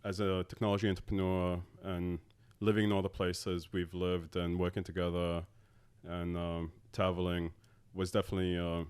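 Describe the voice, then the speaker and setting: A man in his thirties from Abu Dhabi, a tech co-founder talking as a podcast guest in a halting way with many filler sounds. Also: low-pitched, completely flat delivery, darkish tone, smooth. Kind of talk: casual interview talk.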